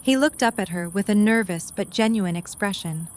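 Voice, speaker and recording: A woman's voice: medium pitch, moderate pace. A woman reading scripted story narration for an audio drama.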